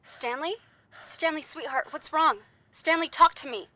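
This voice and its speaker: A woman acts out a devoted young sweetheart in a radio drama. The voice is high and bright, tender and pleading, recorded dry. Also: measured pace, dry recording. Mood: worried. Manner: soothing, pleading.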